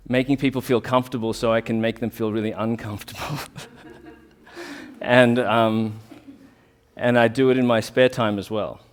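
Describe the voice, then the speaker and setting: A man's voice: medium pitch, fairly even intonation, moderate pace. Earnest man giving a talk. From Australia. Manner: earnest, reflective, with restarts and fillers. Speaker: talk speaker, rolfer. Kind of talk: informal community talk.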